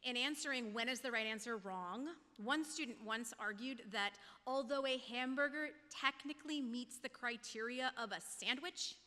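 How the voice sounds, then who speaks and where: A woman giving a prepared, reflective sermon as a lay speaker, in a measured, questioning manner. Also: a little high, sharp tone. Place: church sanctuary. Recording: long church hall reverb.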